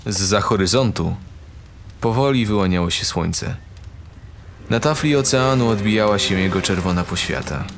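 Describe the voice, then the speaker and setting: A man's voice: on the low side, lively intonation, normal speed, vocal fry, a little hiss or hum. A man narrating a fantasy audio drama in a dramatic, scripted style.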